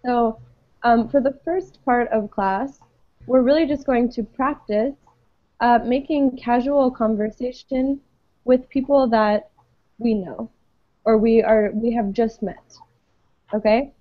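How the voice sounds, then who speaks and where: A woman teaches English to an online class, patient and friendly, filling her pauses with ums. Her voice sits a little high. The sound is dry and dull, like a web call. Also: fairly even intonation, no echo.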